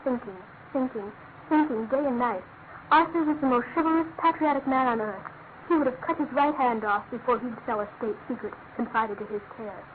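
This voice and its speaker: An actress playing an earnest, anguished young British fiancée with an emphatic, halting delivery in a classic radio drama. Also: female voice, fairly high pitch, lightly acted. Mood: distraught, indignant. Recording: noisy, narrow-band old radio recording.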